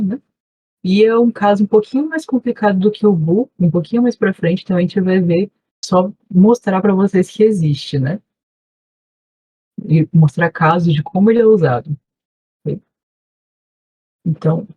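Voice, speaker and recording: A woman's voice: lowish voice, slightly rough, dry recording, dull audio. A woman with a Brazilian Portuguese accent, a volunteer teacher giving an online class review, explaining patiently and checking in often with her students. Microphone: video call.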